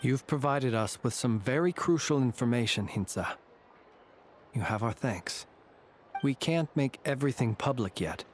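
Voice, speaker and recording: An actor playing a courteous, composed male investigator in a video game, speaking in a measured, formal way. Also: medium pitch, a little hiss or hum, natural voice.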